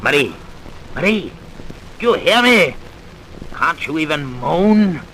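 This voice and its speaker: Slow, menacing, bitter radio villain. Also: male voice, fairly high pitch, sing-song, sharp tone, heavily acted. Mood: gloating, cruel. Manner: theatrical, taunting, deliberate. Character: bitter, vengeful crippled male research chemist, gloating villain. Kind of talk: old-time radio drama acting. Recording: noisy vintage radio recording.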